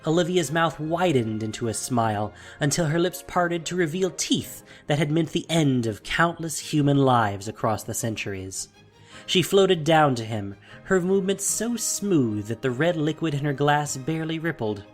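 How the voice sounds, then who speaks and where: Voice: mid-range voice, lively intonation, normal speed. A narrator reading a scripted fantasy tale in a dramatic storytelling style. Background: soft music.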